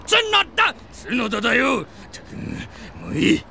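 An actor playing a hot-headed, self-pitying middle-school gang boss in a comic audio drama, talking in rough, boastful delinquent slang. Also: male voice, very high voice, wildly swooping pitch, unhurried, shouting, noisy background, full character voice. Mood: exasperated.

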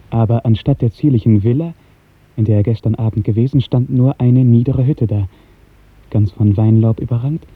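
A man narrating a literary 1950s German radio drama in a dramatic, declamatory style with measured diction. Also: on the low side, husky. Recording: 1950s radio recording, thin narrow band, music.

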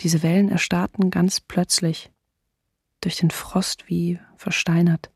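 An actress playing an observant diarist in a radio drama, reading literary entries reflectively in a husky voice. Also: female voice, on the low side, flat delivery, takes it slowly, darkish tone, no room sound, clean studio sound, exaggerated voice. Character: observant older female diarist, marvelling at winter.

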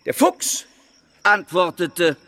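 Slow, fervent preacher in a reverberant hall. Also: male voice, sits high, sing-song, sharp tone, heavily acted. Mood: solemn storytelling. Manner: declamatory, drawn-out, solemn. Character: fervent reverend preaching a strange animal parable. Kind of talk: radio drama sermon.

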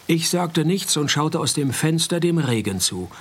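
A man's voice: a little high, unhurried, breathy edge. An actor playing a dry, sardonic private detective, narrating his case in a radio play. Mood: dry narration. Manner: deadpan, laconic, sardonic.

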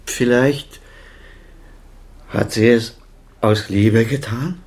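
A man's voice: medium pitch, extremely slow, darkish tone. A man acting in a naturalistic radio drama as a middle-aged doctor and father, defensive, self-justifying and pleading.

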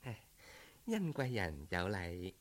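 A man's voice: low voice, wildly swooping pitch, takes it slowly, voice pushed down, full character voice. A man voicing a polite middle-aged matron in a Cantonese storybook tale, courteous and hospitable in manner. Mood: gracious.

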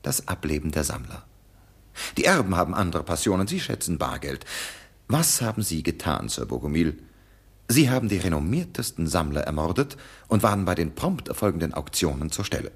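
A confident, sharp-witted detective in a radio drama. He has a very low, slightly husky voice with lively swings in pitch. His delivery is dry and accusing. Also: a little fast, heavily acted.

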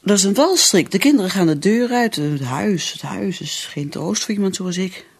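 An actress playing a bitter, driven middle-aged career woman who drinks, in a radio play, with a breathy, halting, brooding delivery. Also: mid-range voice, expressive, no echo. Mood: defensive, wistful.

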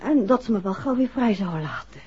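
A woman's voice: mid-range voice, expressive, fairly quick, a little mellow, lightly acted. A woman acting a detective's shaken but stubborn, affectionate wife in a 1960s radio drama, hesitant and insistent by turns. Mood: recounting, uneasy. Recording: muffled old 1960s radio recording.

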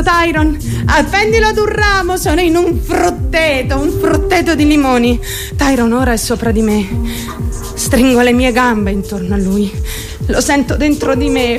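Naive lovestruck young woman, acted, high voice over music. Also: natural voice. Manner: intimate, halting, childlike self-questioning. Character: naive, lovestruck, traumatized young woman recounting her story. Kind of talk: dramatic radio monologue in present tense. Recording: music behind the voice.

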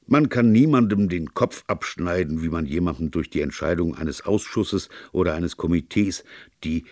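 A deep, slightly gravelly priest detective, read by a man, earnest and philosophical. He reasons at length with calm sincerity, and his voice rises and falls freely, as in an audiobook crime story. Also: no echo, studio quality, exaggerated voice. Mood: earnest, arguing. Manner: even-tempered, sincere, discursive. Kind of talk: audiobook character dialogue.

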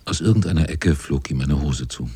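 An actor narrating a radio play as a reflective seaman, in a dark, husky, measured voice. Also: very deep voice, no room sound, full character voice. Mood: reflective, wry. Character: reflective seaman recounting his shipmates' voyage.

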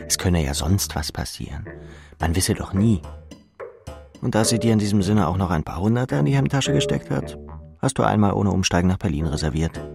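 A man's voice: low-pitched, animated, brisk, creaky, over music. A man reading scripted literary narration in the second person for an audio drama.

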